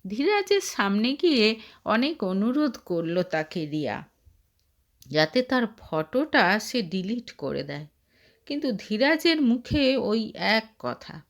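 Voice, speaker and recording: A woman narrating a scripted story in a dramatic storytelling style. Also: mid-range voice.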